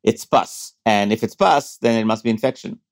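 Lower-pitched male expert guest. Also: normal speed. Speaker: professor of medicine, expert guest. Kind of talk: interview storytelling and explanation.

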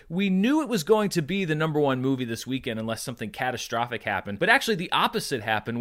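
A man's voice: on the high side, a bit bright. A man hosting a show, giving enthusiastic recap commentary straight to his audience. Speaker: show host. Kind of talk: upbeat box office recap commentary.

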